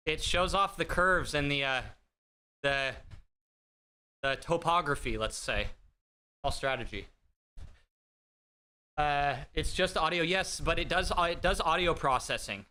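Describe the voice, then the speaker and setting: A man hosting a live stream, chatting casually with viewers in a bright, higher-pitched voice, rambling and self-deprecating with lots of fillers. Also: lively intonation, a little slow, pauses often. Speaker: livestream host. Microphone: desk streaming mic through an audio mixer.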